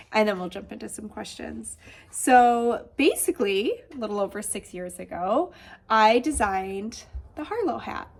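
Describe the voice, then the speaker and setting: A woman with a Michigan accent hosting a knitting podcast, congested and a little nasal, chatting casually with lots of asides. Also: medium pitch. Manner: chatty, bubbly, with many asides. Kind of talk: casual chat.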